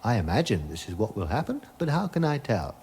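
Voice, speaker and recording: An actor playing a vague, gentle hermit who doubts all reality, in a radio comedy drama. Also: male voice, on the low side, sing-song, brisk, slight background noise. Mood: musing. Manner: musing, detached, hesitant, questioning everything.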